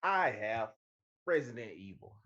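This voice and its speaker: A man's voice: mid-range voice, sing-song, unhurried, bright, forceful tone. A man in his thirties co-hosting casually, teasing and emphatic, on slightly muffled audio. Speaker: co-host of a movie and video game show. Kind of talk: casual playful banter.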